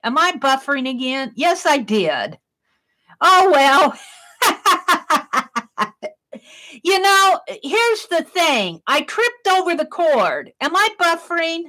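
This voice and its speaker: A middle-aged woman talks through her art livestream in a loud, projected voice, thinking aloud and chatting with viewers. Her voice sits high and bright, and she speaks at an easy, unhurried pace. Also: very animated, rough recording. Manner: chatty, thinks aloud, reads chat comments. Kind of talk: casual livestream chat while crafting.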